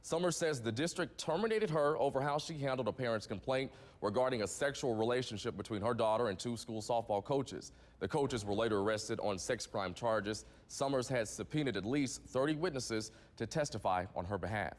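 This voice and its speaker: A male news anchor with an American accent reads from a script for a morning TV broadcast. His pitch sits in the middle of the male range, and a long reverb trails his voice. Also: a little fast, hardly any pauses. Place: TV news studio.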